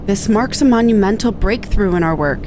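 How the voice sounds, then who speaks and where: A woman's voice: medium pitch, lively intonation, normal speed, background noise, natural voice. A woman voicing a bookish, earnest researcher with formal, precise speech in a game read-aloud. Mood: composed, lofty. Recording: music behind the voice.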